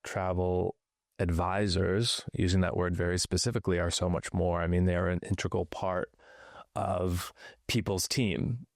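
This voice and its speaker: A male podcast host with a low voice asks his guest questions, feeling his way through his phrasing. He often strings several questions together. The sound is dry, with no room echo. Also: fairly even intonation, normal speed. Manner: loose, searching phrasing, stacks several questions. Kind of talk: interview questions.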